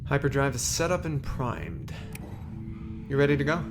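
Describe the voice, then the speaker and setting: A man's voice: mid-range voice, big pitch swings, moderate pace, lightly acted. A man playing a nervous young hyperdrive inventor with an earnest, anxious delivery in a fan audio drama. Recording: music score behind.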